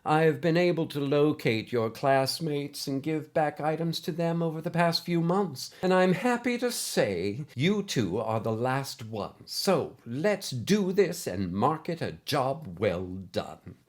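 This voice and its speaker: Man voicing a prim, high-pitched older lady schoolteacher. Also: expressive, takes it slowly, hardly any pauses. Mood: warm, reassuring. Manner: formal, precise, gently scolding. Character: kindly but exacting older woman, former schoolteacher.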